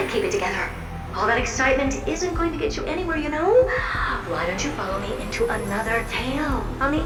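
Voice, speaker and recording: A woman voicing a floating puppet helper in a video game, who flatters her mistress and narrates in a theatrical, playful way. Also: high voice. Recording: roomy and distant, with music. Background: game music.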